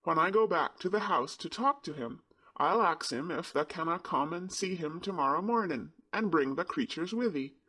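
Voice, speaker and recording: A man's voice: fairly high pitch, expressive, moderate pace, slightly rough, heavily acted. A man doing a broad Yorkshire country lad. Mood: cheerful. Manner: broad dialect, eager and warm. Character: cheerful Yorkshire country boy.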